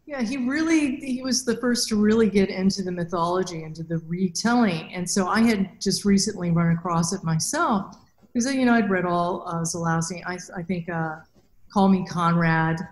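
A woman in her fifties hosting a podcast interview over a video call, asking measured questions in a soft voice. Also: fairly low pitch. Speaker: podcast host. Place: plain room, indoors. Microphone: webcam mic on video call. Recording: roomy video call audio.

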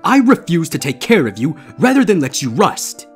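A man's voice: on the high side, big pitch swings, moderate pace, husky, soft background music, voice pushed up. A man voicing a horrified, exasperated male stage magician who scolds vehemently in a storybook reading. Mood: outraged, desperate.

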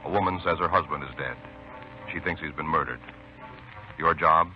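A man's voice: a bass voice, moderate pace, faint music behind. A man reading a solemn, scripted 1950s radio introduction as announcer, on an old muffled recording.

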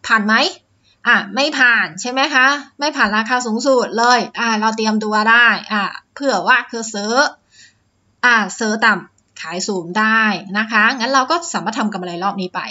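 A woman's voice: fairly high pitch, normal speed, pushed and bright, highs cut off. A woman teaching stock chart reading step by step, with frequent "uh" fillers and polite sentence tags. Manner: explains step by step, many "อ่า" fillers and "นะคะ" tags. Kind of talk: screen-share stock chart lesson.

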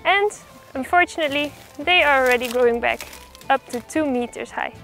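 A woman's voice: high voice, brisk, fairly bright tone, faint music behind. A woman hosting a project vlog, narrating and explaining in an upbeat way. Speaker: vlog host.

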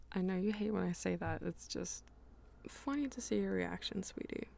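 A woman playing a dominant, teasing female vampire lover in an intimate ASMR roleplay, coaxing and breathy, often dropping toward a whisper. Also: mid-range voice, a little mellow. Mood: playful, casual. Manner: slow, coaxing, teasing pet names.

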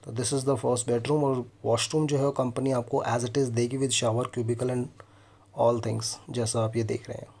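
A man's voice: mid-range voice, level tone, unhurried, gravelly, darkish tone. A man in his thirties with an Indian accent, presenting a property walkthrough as host and explaining things directly to viewers. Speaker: property consultant and video host. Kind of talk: property walkthrough presentation mixing Hindi and English.

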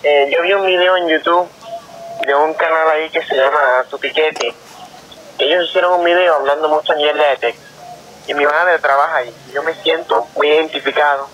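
Young Cuban man complaining, fairly high voice. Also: fairly even intonation, moderate pace, fairly bright tone. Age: young adult. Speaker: prank caller. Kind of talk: mock complaint on a phone call. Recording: faint noise around phone call.

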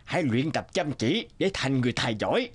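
A man's voice: medium pitch, sing-song, fairly quick, slightly rough. A man voicing a menacing enemy jailer in a cartoon, taunting and imperious. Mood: mocking, commanding. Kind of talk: dramatic cartoon dubbing.

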